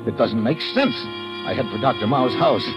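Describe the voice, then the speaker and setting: A man's voice: medium pitch, expressive, normal speed, close to an everyday voice. An actor playing a wisecracking, sardonic American adventurer who narrates his own story in a mid-century radio drama. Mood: tense, wry narration. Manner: sardonic, slangy. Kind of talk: 1940s-50s radio adventure acting, first-person narration. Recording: dull old radio recording with loud music.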